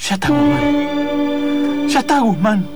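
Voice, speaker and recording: A man's voice: very high voice, takes it slowly, over loud music. A man playing an eager police detective sidekick with an Argentine accent in improvised comic radio drama, emphatic and repetitive. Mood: urgent.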